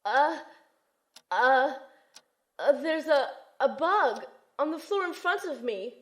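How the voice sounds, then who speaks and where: A woman performs a young shaman heroine in an audio drama. She has a fairly high voice and tells her own story as narrator. She comes across as plucky, resourceful and kind. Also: animated, takes it slowly. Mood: flustered. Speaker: narrator and protagonist. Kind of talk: first-person audio drama narration and acting.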